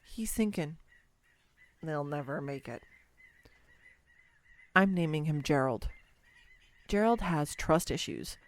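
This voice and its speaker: Casting: actress as excitable, clumsy young woman on a first date, roleplay audio; teasing, hesitant, slow-paced, swooping intonation. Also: low-pitched. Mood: delighted, playful. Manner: bubbly, hesitant, playfully teasing, expressive. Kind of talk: scripted girlfriend roleplay audio, intimate one-sided dialogue.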